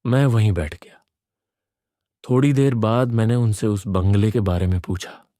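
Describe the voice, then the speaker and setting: A man narrating a scripted first-person horror story in a measured, suspenseful way. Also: on the low side, dark tone, no room sound, studio quality.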